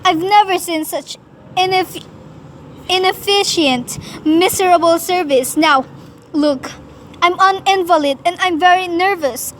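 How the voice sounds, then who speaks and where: A woman's voice: very high voice, a little slow, a bit bright, a little hiss or hum. A woman playing a nervous, demanding, self-pitying invalid wife in an amateur radio play, agitated and complaining. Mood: irritated, frantic.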